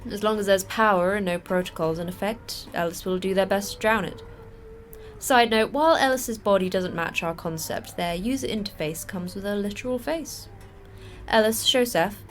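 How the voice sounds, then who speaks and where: An actress playing a terse woman station manager in a sci-fi audio drama, brusque and dryly sarcastic in a deadpan way. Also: medium pitch, moderate pace, faint music behind, close to an everyday voice. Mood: brisk, businesslike. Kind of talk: audio fiction podcast acting.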